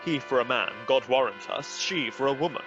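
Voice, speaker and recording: A man's voice: medium pitch, brisk, close to an everyday voice. A man acting a young courtier who heckles with dry quips in a Shakespeare radio play. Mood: mocking. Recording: dull sound under clear music.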